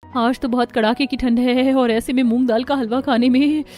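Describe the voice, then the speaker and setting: A woman's voice: fairly high pitch, fairly even intonation, normal speed, darkish tone, lightly acted. A woman playing a cheerful, eager young daughter-in-law in a family cartoon. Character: eager, sweet young daughter-in-law who loves cooking.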